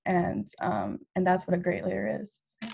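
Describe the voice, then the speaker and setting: A woman's voice: on the low side, big pitch swings, moderate pace, vocal fry, a little mellow, breathy edge. A teenage girl on a video call panel, speaking earnestly with many fillers. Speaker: youth panelist. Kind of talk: casual panel talk.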